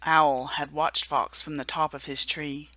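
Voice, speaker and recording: A woman reading a children's storybook aloud for a remembrance service, gentle and hushed at the sad moments. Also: low voice, level tone, measured pace, dry recording. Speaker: story reader.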